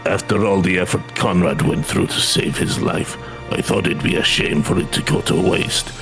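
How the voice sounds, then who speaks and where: Guy reading a gentle grieving game character, soft and measured, low voice, bit of music. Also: creaky. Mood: gentle, solemn. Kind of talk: video game dialogue read aloud.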